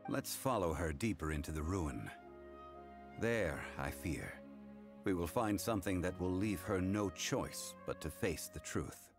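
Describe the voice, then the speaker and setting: A man playing a calm, grave consultant in a video game, speaking formally and with solemn measure. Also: low-pitched, measured pace, music in the background. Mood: somber, measured. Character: calm, grave, worldly male consultant recounting hard truths. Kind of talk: English video game voice acting.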